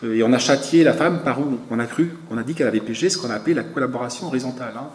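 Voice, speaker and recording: A man's voice: mid-range voice, very fast, slight background noise. A French man speaking at a conference, lecturing loosely in answer to a question, with many "hein"s and repeated words. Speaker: conference speaker, magazine editor. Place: conference hall. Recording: echoey hall.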